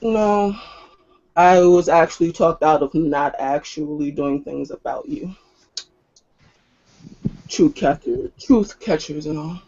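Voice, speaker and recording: Man playing Victoria, a scornful, high-pitched werewolf. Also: slow pace, pauses often, darkish tone, lo-fi sound. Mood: cold threat. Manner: scornful, confrontational, sarcastic. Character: Victoria, hostile, domineering, foul-mouthed female werewolf. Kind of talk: in-character tabletop roleplay.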